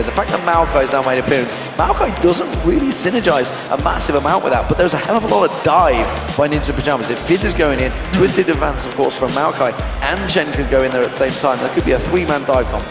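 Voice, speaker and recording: A man giving energetic, chatty commentary on an esports match, often correcting himself. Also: fairly high pitch, fast talker, hardly any pauses. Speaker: esports caster. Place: large packed expo hall. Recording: roomy hall echo with music behind.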